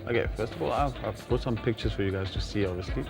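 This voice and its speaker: Male South African expert guest, lowish pitch, formal and stop-start delivery, music underneath. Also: quick pace, gravelly. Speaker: guest, air traffic controller. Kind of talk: explanatory interview answers. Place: TV studio.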